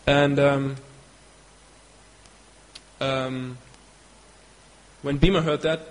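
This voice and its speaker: A man's voice: mid-range voice, flat delivery. German-accented man telling a story in a hall. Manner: halting, searching for English words. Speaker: devotee giving a talk. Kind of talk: storytelling lecture. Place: hall in Belgium. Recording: long hall reverb with faint ambience.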